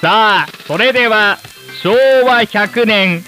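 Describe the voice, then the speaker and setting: An actor playing a formal, polished old-time television presenter addressing the nation in a radio drama. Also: male voice, high voice, slow, bright, forceful tone, faint music behind, heavily acted. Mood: showy hosting. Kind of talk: broadcast announcing in radio drama.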